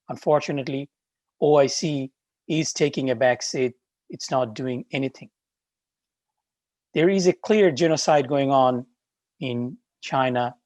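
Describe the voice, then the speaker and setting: A man giving a formal, solemn statement of solidarity as a guest speaker in measured phrases. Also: medium pitch, a little mellow, no room sound. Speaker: guest speaker, organization representative.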